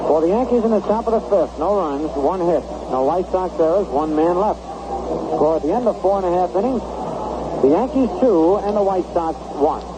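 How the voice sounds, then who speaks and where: A man's voice: high-pitched, lively intonation, moderate pace. An American man calling baseball play-by-play in clipped phrases, in the style of 1960s radio commentary. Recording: noisy, band-limited vintage AM radio broadcast.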